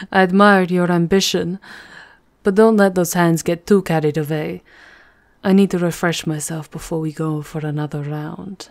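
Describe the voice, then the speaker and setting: A woman's voice: lowish voice, steady tone, unhurried. A woman voicing a seductive, possessive vampire countess in an intimate, aristocratic manner for an ASMR roleplay. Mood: amorous.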